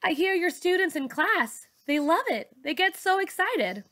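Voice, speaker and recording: A woman reading a children's novel, voicing a supportive, easygoing female teacher who speaks frankly, with animated, emphatic encouragement. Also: extremely high pitch, a little slow, pitched above the performer's own voice, exaggerated voice. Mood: surprised, encouraging. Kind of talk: children's novel read-aloud dialogue.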